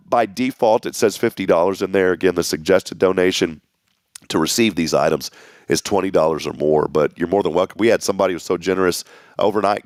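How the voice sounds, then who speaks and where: Man, hoarse, low voice, folksy community announcements, dry close mic. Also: no room sound. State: losing his voice. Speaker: morning show host. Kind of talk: local morning show announcements. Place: small local TV studio.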